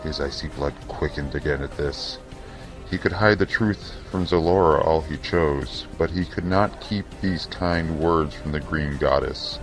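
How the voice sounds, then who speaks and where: A man reading scripted fantasy narration for an audio drama in a solemn, measured, theatrical way. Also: very deep voice, over music.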